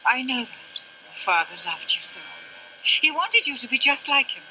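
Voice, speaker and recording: An ailing older mother in a video game, sharp-tongued yet weary. The voice is fairly high and very bright and tense, with haughty, theatrical, genteel phrasing. There is faint noise and ambience behind it. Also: big pitch swings, moderate pace, heavily acted, poor audio. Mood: regretful. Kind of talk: dramatic scripted game dialogue.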